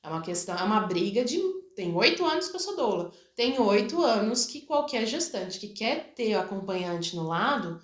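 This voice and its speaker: A woman's voice: mid-range voice, very animated, normal speed, hardly any pauses. A woman, talking casually as an interview guest in a candid, wryly ironic, colloquial way. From Brazil. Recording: roomy, echoing room sound.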